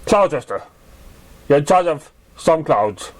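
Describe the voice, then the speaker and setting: A man's voice: on the high side, very animated, unhurried, poor audio. A man voicing a tired, curt old female unicorn clerk with a New York accent in a story reading. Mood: brusque.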